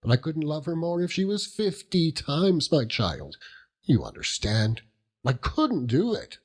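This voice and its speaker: Hearty old country seaman, chuckling, overjoyed, lively pitch, unhurried audiobook character. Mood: tender, proud. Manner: chuckling, rambling, dialect-heavy storytelling with exclamations. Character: hearty old working-class seaman uncle, doting and overjoyed.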